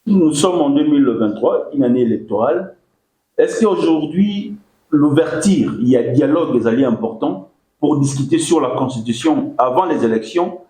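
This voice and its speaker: A man hosts a political talk show and puts long framing questions to his guest. His voice is dark and mellow, and it rings a little in an echoey room. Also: medium pitch. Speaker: host.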